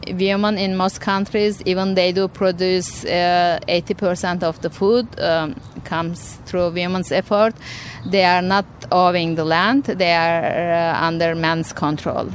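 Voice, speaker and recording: A woman's voice: on the low side, a little hiss or hum. A woman from Turkey, answering interview questions hesitantly and restarting as she searches for words. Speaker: interview guest, researcher. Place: conference venue.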